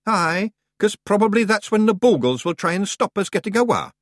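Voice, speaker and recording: A man's voice: high-pitched, expressive, moderate pace, no room sound, clean studio sound, pitched above the performer's own voice. A man voicing a small, cocky fairy man with a broad, boisterous Scottish accent in an audiobook. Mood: brash cheery. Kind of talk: audiobook character voice.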